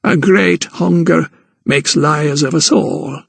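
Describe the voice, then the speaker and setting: A man voicing a frail but shrewd old grandmother who speaks slowly and calmly. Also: on the high side, gravelly, husky, no room sound, voice pushed up, heavily acted. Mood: calm knowing. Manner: slow, calm, knowing. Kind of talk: audiobook character voice.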